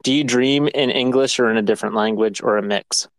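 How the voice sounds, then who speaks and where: A man's voice: mid-range voice, brisk, clear, resonant tone, no room sound, poor audio. A man from the United States hosting a casual podcast interview, asking easygoing, curious questions. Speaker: podcast host.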